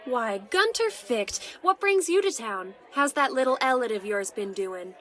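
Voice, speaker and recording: A woman's voice: on the high side, animated, a little slow, a bit bright, some chatter behind. A woman playing an older tavern keeper, motherly, teasing and chatty, in a fantasy audio drama. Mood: concerned.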